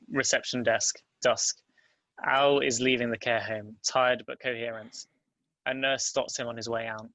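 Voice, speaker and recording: A man reads screenplay stage directions in a plain narrating style. His voice is bright and even, with little pitch movement. The sound is close and dry. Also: no room sound, poor audio. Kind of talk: scripted narration at a table read.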